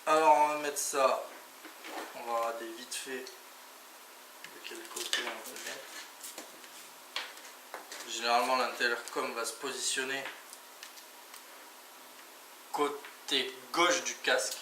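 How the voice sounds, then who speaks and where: A man's voice: mid-range voice, steady tone, slow pace, pauses often. A man giving a casual hands-on product tutorial, informally talking through each step with little interjections. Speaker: motorcycle vlogger presenting gear. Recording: echoey, distant mic, clearly noisy background.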